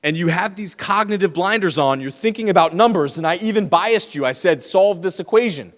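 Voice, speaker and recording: A man with a slightly raised pitch lectures students, teasing them and addressing the room directly. His voice comes through a handheld microphone in a big lecture hall. A long reverb trails each phrase. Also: fairly quick. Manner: lively, teasing, talks straight to the audience.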